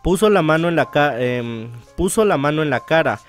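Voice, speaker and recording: A man's voice: a little high, lively intonation, normal speed, soft background music. A man hosting a let's play, reading visual novel narration aloud and now and then stumbling and rereading phrases.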